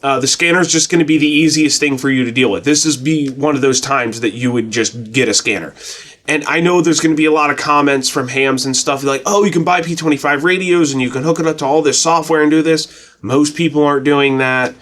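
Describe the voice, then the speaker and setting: A man's voice: fairly high pitch, steady tone, brisk, a bit bright. A man giving a casual tutorial in a plainspoken, folksy, colloquial way as a presenter. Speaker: presenter of a radio tutorial channel. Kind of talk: casual explanatory tutorial talk.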